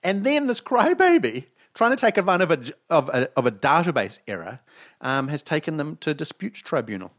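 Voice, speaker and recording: A man's voice: on the high side, very animated, brisk, a bit bright. A man co-hosting a casual talk show, reading news aloud and ranting sarcastically, swearing freely. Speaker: podcast co-host.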